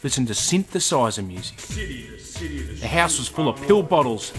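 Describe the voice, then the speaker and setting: Restless, lively Australian drifter played by a man. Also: mid-range voice, moderate pace, music in the background, close to an everyday voice. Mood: cagey, wry. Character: scruffy, restless male drifter, cagey and excitable. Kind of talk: radio drama acting.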